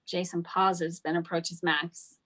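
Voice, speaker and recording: A woman's voice: on the low side, level tone, takes it slowly, fairly bright tone. A woman narrating scripted stage directions at a table read.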